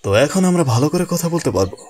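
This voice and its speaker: A man's voice: a little high, very animated, rapid-fire, background noise. A man voicing a powerful, cocky sorcerer teacher in an anime fan dub, breezy and teasing with blunt menace. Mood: teasing, probing.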